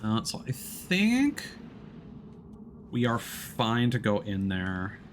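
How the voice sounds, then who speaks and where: A man's voice: mid-range voice, wildly swooping pitch, slow pace. A man giving casual, explanatory live commentary as he streams a video game, in a conversational way. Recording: loud game noise behind voice. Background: video game sound.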